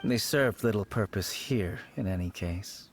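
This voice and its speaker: A man's voice: on the low side, measured pace. A man voicing a wistful, cryptic old shrine keeper in a video game, with a poetic, courteous manner. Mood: resigned, gentle. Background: game music.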